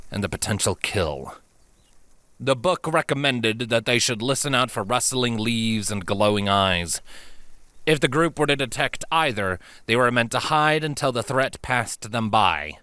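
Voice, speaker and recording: A man reading scripted fiction as an audiobook narrator, expressive and measured in a dramatic storytelling style. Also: medium pitch, no echo.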